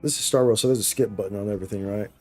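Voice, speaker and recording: A man's voice: on the low side, very fast, a little mellow, breathy edge, soft background music. A man chatting casually and slangily as he streams games, often repeating phrases for emphasis. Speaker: game streamer, host. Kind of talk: casual livestream chat while gaming.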